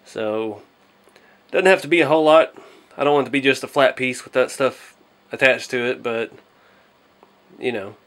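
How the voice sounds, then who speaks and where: A man's voice: mid-range voice, moderate pace, fairly bright tone. Modest man, thinking aloud. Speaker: hobby channel host. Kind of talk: casual hands-on build vlog.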